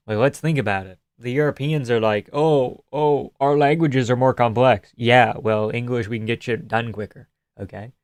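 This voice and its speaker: A man's voice: medium pitch, normal speed, clear, resonant tone, no room sound. A young man from Canada hosting a live stream, chatting casually and sarcastically as he reads out viewer comments and swears freely.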